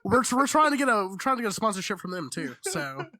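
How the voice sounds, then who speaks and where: A man's voice: sits high, very animated, fast talker, crowd noise. A man in his thirties, a podcast co-host chatting casually with brash, profane, mock-indignant banter. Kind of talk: casual joking group chat.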